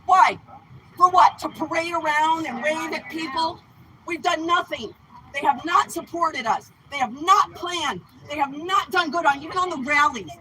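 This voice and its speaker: A woman making an angry, accusing speech to a crowd outdoors, shouting at times. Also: sits high, moderate pace, a little hiss or hum, rough recording. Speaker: convoy protester addressing the group. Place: outdoor parking lot.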